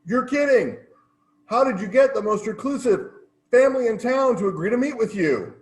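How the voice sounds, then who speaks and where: Man as blunt newspaper boss, high-pitched and lively, stagey comedy delivery. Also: normal speed. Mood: blunt, urging. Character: brusque male newspaper editor, blunt boss. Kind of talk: stagey comedy play acting.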